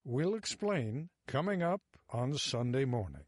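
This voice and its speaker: A man's voice: mid-range voice, very animated, measured pace, a little mellow, husky, no echo, studio quality. An older man narrating a news teaser as a correspondent, in a dramatic, emphatic way.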